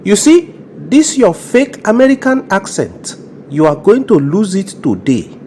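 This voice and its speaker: A self-important Nigerian police officer played by a man with a muted, dark tone. He talks like a zealous scold in a comedy skit. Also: high-pitched, wildly swooping pitch, measured pace, slight background noise, full character voice. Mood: gloating.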